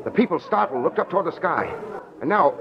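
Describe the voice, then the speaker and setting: An actor playing an awestruck reporter in an old-time radio drama, dramatic, halting and full of wonder. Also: male voice, high-pitched, very animated, gravelly, heavily acted. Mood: reverent, urgent. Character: awestruck male radio reporter transported to ancient Jerusalem. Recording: noisy, dull old radio recording.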